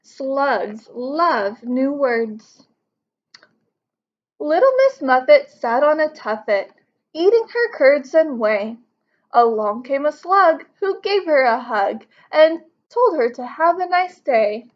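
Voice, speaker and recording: A woman's voice: high-pitched, animated, slow, highs cut off. A woman in her thirties reading a picture book aloud and explaining it to children in an instructive way. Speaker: teacher reading to young pupils. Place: living room couch at home.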